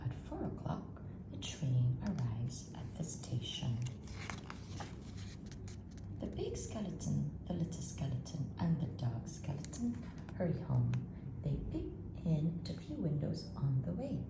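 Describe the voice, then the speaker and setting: A woman reads a children's story in a very deep, creaky voice with lively swings of intonation. She stretches out her phrases in a hushed, spooky way. The recording is echoey, with heavy noise behind her. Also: a little slow. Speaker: storytime reader. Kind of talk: expressive picture-book read-aloud for children. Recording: roomy echo, loud background noise.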